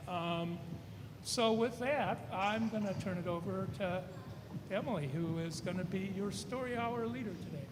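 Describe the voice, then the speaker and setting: A man speaking as a theater music director, making an informal public announcement in a halting, rambling way. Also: high voice. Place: large indoor room with a seated audience. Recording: echoey reverberant room, clear background noise.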